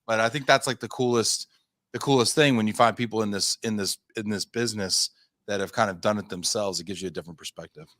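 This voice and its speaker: A man's voice: lowish voice, steady tone, fast, fairly bright tone, no room sound, clean studio sound. A man in his thirties, a company founder being interviewed, telling his story in a chatty, self-deprecating, rambling way. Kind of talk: casual interview talk.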